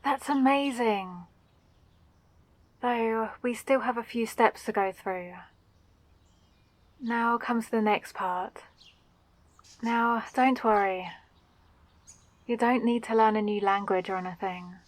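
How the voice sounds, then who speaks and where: A woman playing a kind, eager mage who teaches magic gently and encouragingly in a roleplay audio drama. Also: on the high side, slow, pauses often, dry recording.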